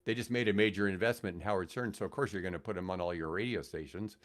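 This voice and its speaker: An older man with an American accent, telling rambling, animated anecdotes casually as a radio veteran on a podcast. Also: low-pitched, fast. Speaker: radio host and guest.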